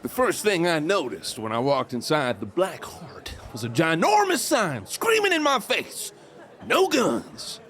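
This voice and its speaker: A man acting as a swaggering Old West outlaw with a fairly high voice that swoops all over. He talks in folksy, cocky phrases like a born swindler, with music under him in a radio-play style. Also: wildly swooping pitch, a little slow, a bit bright, exaggerated voice. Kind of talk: audio drama narration and dialogue.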